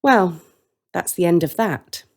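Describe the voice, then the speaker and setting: A needling brother from a storybook, voiced by a woman. He is blunt and dismissive, teasing in a lively, lifted voice. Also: lowish voice, slow pace, heavily acted. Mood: offhand.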